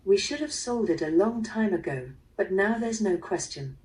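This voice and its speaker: An actress playing an impatient, haughty daughter of a wealthy family in a mystery audio drama, proper and disapproving. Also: female voice, medium pitch, lively intonation, normal speed, a little mellow, natural voice. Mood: exasperated. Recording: distant, played through a small speaker.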